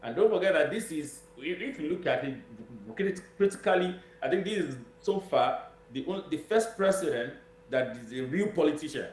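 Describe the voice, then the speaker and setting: A man's voice: a little high, expressive, normal speed. A man with a Nigerian accent giving political commentary as an interview guest, emphatic and repeating phrases for stress. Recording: roomy echo with noise and hum.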